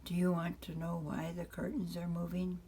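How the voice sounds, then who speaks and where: A woman voicing a patient but firm father who explains things in a deliberate, matter-of-fact way in a bedtime storybook. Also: on the low side, monotone, mellow, slight background noise, close to an everyday voice. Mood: patient explaining.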